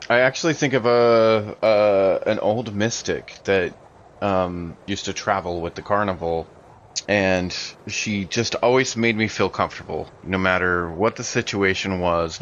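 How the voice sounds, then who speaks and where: Male tabletop player, deep voice, casual and hesitant, thinking aloud, slightly muffled recording. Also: a bit bright, slight background noise. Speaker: player in a tabletop role-playing game. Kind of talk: casual game talk, explaining his character's actions.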